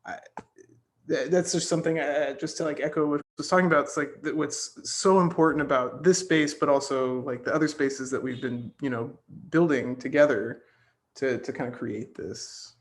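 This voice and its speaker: A man's voice: medium pitch, fairly even intonation, moderate pace. A man leading a casual group discussion, thinking aloud in an informal, tentative way. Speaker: discussion facilitator.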